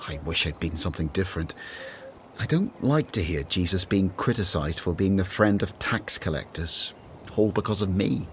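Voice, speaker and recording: An actor playing a weary, anxious, grumbling former tax collector turned disciple in a radio play, brooding and earnest. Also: male voice, low-pitched, lively intonation, moderate pace, slight background noise. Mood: tired, irritable. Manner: brooding, earnest, self-doubting.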